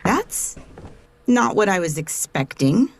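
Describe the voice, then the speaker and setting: A woman's voice: medium pitch, big pitch swings, slow pace, vocal fry, exaggerated voice. A woman playing a teasing, affectionate wife in an audio comedy drama. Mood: puzzled. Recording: slightly distant, faint noise.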